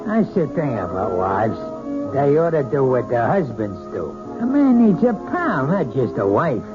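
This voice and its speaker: An actor playing a bullying, boozy husband in a 1970s radio drama, swaggering and sneering with false joviality. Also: male voice, medium pitch, huge pitch swings, unhurried. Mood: boozy, nostalgic. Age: adult. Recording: dull old radio broadcast, music.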